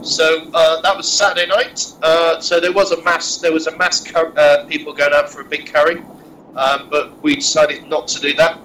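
A man's voice: on the high side, little pitch movement, moderate pace, pushed and bright, slight background noise, low-quality recording. A man with a head cold, sounding nasal, chatting casually and rambling through filler-heavy restarts while he drives. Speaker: podcast co-host recounting a wargaming tournament. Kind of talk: casual chat. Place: in a moving car.

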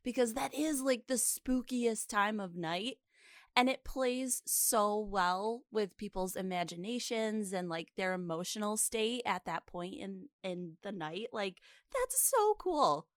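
A woman co-hosting a podcast, chatting informally and reacting in an expressive, emphatic way. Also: mid-range voice, a little slow, no echo, clean studio sound. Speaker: podcast co-host. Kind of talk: casual reactive chat.

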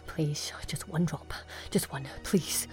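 A woman's voice: sits low, brisk, a little mellow, lightly acted. A woman voicing a craving bird-woman noble who pleads in whispers and orders people about. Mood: pleading whisper. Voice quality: whispery pleading, then commanding.

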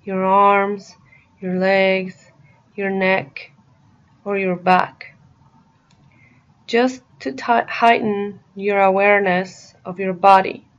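Woman, meditation guide, very slow delivery, soothing and deliberate, short phrases with pauses, medium pitch, slightly dull recording. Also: flat delivery.

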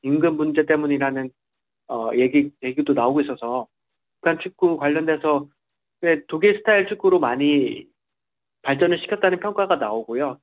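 A man's voice: on the high side, normal speed, low-quality recording. A man reporting in a semi-formal interview, somewhat hesitantly, often repeating and rephrasing his words.

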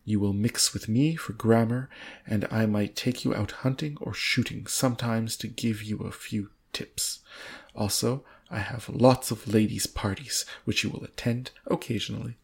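A man's voice: lowish voice, fairly even intonation, moderate pace, gravelly, husky. A man voicing a lofty, complacent aristocrat in a storybook read aloud, with a pompous, genteel manner. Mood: brisk, condescending. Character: lofty, complacent English earl, casually boastful aristocrat.

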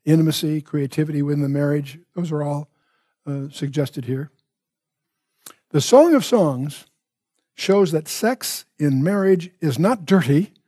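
Husky, mellow male Bible teacher. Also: on the high side, no echo, studio quality. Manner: emphatic, deliberate phrasing with asides.

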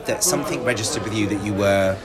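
A man's voice: lowish voice, fast. A man in his forties hosting a casual, laughing chat with a cast in a pub. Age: 40s. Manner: chatty, laughing, bantering. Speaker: podcast host. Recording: noisy pub crowd. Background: crowd chatter.

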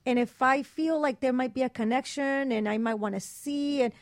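A woman's voice: high-pitched, normal speed, dry recording, studio quality. A woman co-hosting a talk radio show, giving opinions in a blunt, emphatic way.

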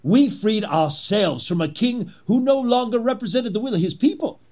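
An actor playing a zealous, persuasive Confederate conspirator in a historical drama, with a husky voice and theatrical, oratorical delivery. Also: male voice, sits high, expressive, moderate pace, darkish tone. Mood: righteous. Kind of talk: period historical drama acting.

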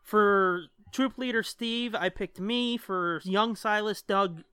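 A man's voice: sits high, slow, sharp tone, smooth, dry recording, studio quality. A man hosting a casual podcast, chatting in slangy language with plenty of swearing. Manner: profane, slangy. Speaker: podcast host.

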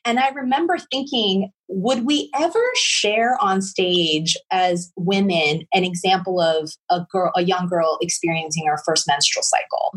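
A woman's voice: mid-range voice, husky, rough recording. A middle-aged woman interviewing a guest, speaking reflectively with frequent restarts. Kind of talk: conversational interview.

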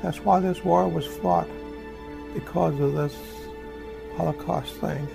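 Actor as a very gravelly old American war veteran. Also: male voice, on the high side, steady tone, full character voice. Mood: reflective, earnest. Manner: halting, plainspoken, folksy reminiscing. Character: old American WW2 veteran, remorseful, haunted by killing. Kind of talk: radio drama monologue, recounting war memories. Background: music behind the voice.

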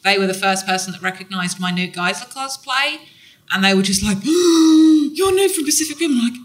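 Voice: medium pitch, expressive, normal speed. A podcast co-host talking enthusiastically at a live panel, chatty and often trailing off mid-thought. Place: convention hall stage.

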